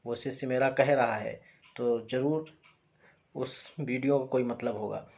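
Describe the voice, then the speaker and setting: A man from India teaching a lesson, explaining slowly and repeating key points in an emphatic teacher's way. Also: medium pitch, fairly even intonation, gravelly, a little mellow. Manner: repetitive, emphatic teacher phrasing. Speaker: educator.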